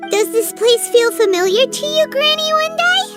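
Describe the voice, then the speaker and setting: This is a tiny, chirpy fairy companion for a video game. Her voice sits extremely high and squeaky, and her pitch leaps around in lively jumps. Loud game music plays underneath her. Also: normal speed. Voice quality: high, squeaky, speaks of herself in third person. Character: small floating female fairy companion, chirpy.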